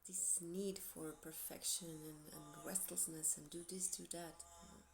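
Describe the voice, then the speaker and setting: A woman's voice: low voice, little pitch movement, a little slow, creaky, music in the background. A woman from Portugal with a Portuguese accent, chatting casually to camera as she gives a tarot reading in a meandering way. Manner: chatty, meandering, light laughs. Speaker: tarot reader.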